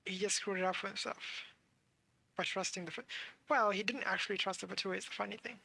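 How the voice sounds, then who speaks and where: Creaky, bright-voiced streamer thinking aloud. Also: medium pitch, fairly even intonation, fast. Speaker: game streamer. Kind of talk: casual playthrough commentary.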